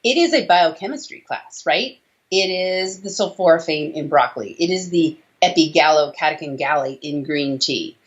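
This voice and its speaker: A woman's voice: deep voice, moderate pace. An American woman in her forties explaining things emphatically and conversationally as an interview guest on a video call. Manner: emphatic, animated explaining with rhetorical tag questions. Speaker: doctor, interview guest, author. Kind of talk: conversational interview answers on health. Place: small room indoors, on a video call. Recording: dull video-call audio.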